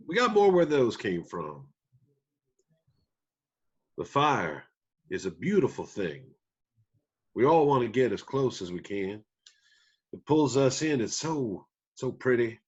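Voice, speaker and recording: A man playing a gentle, weary country musician father in a table read, with folksy, halting phrasing. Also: mid-range voice, highs cut off. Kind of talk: screenplay table read acting.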